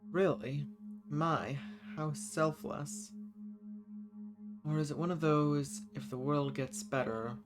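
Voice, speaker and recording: A man's voice: a little high, slow pace. A man playing a banished ancient villain, seductive, scheming and lonely, speaking intimately and teasingly to the listener in a roleplay audio drama. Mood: flirty, teasing. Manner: flirtatious, teasing, theatrical. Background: music.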